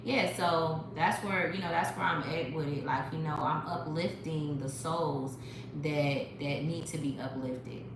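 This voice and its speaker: Low-voiced woman preaching on a livestream. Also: fairly even intonation, a little slow. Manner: profane, preachy, addresses viewers directly. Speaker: livestream host. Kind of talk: spiritual livestream talk. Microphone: phone. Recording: very echoey room with noise.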